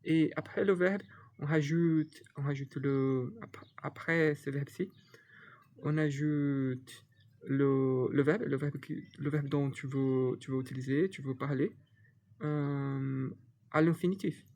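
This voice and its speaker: A man's voice: mid-range voice, steady tone, slow pace, a little mellow, a little hiss or hum. A young Brazilian man with a Brazilian Portuguese accent, whose first language is Portuguese, teaches a language lesson off the cuff with many hesitations and restarts. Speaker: Portuguese tutor.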